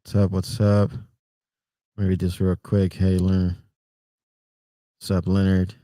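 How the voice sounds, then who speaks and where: A middle-aged man with a Puerto Rican accent, hosting a relaxed, rambling livestream chat and greeting viewers by name. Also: deep voice, slow pace, no echo. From Puerto Rico. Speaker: trucker livestream host.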